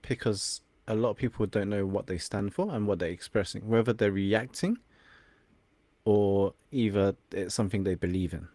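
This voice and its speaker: Low-voiced man, native Cantonese speaker. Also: moderate pace, dark tone, no echo. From England. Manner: reflective, searching for words. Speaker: guest, confidence coach. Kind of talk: podcast interview.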